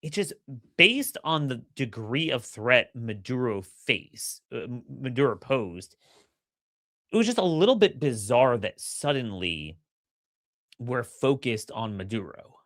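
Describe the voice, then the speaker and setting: A middle-aged man with a slightly hoarse voice talks through his opinions in an energetic, forceful way, often stumbling over his first words. His voice is bright and pressing. The recording is dry and close, with no room sound. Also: mid-range voice, unhurried, no echo. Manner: energetic, opinionated, repeats words when starting sentences. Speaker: podcast host. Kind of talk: solo political commentary.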